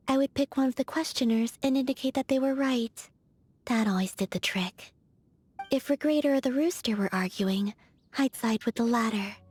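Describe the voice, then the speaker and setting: An actress voicing a soft-spoken, aloof young woman in a game, with a breathy, whispery manner and slow, languid delivery. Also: on the high side. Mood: musing. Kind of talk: video game character voice lines.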